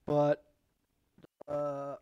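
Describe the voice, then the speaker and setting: A man giving casual, unscripted commentary as he paints, thinking aloud in a halting, self-critical way. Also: on the high side, completely flat delivery, clear, resonant tone, highs cut off. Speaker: painter hosting a live studio stream.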